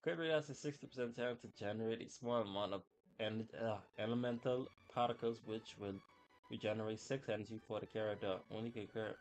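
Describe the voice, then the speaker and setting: A man's voice: lowish voice, brisk, rough recording. A man chatting casually on a gaming livestream in a slangy, informal way, talking to his viewers. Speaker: game livestreamer.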